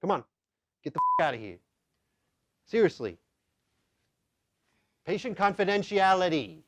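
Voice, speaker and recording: A man's voice: high-pitched, big pitch swings, a little slow, a bit bright, slight background noise, exaggerated voice. A man playing a cocky, sleazy quack therapist in a comedy sketch, brash, crude and full of bragging. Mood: cocky, forceful.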